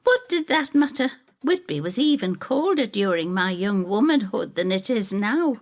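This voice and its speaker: A woman's voice: mid-range voice, very animated, normal speed, voice pushed up, close to an everyday voice. A woman voicing a bitter, self-critical older woman with a rueful, tart and emphatic manner in an audio drama. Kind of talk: audio drama storytelling dialogue.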